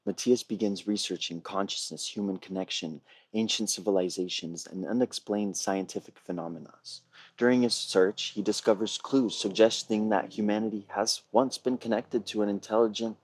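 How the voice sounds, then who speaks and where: A man giving a prepared film pitch on a video call in a measured, earnest storytelling way. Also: steady tone, husky. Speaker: filmmaker pitching a feature film. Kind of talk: prepared pitch presentation, read narration.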